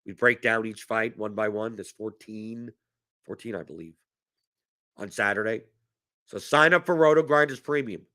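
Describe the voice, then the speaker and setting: A man's voice: fairly low pitch, moderate pace, pushed and bright. A man hosting a fantasy sports strategy stream, chatting casually and answering chat questions while losing his voice to a cough, often repeating words as he restarts his thoughts.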